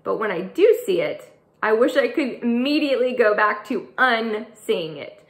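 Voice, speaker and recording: A woman author reading her middle grade novel aloud, with an expressive storytelling delivery. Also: fairly high pitch, moderate pace. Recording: echoey room.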